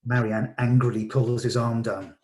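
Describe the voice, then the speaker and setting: Man reading stage directions, medium pitch. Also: fairly even intonation, moderate pace, darkish tone. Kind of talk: scripted narration at a table read.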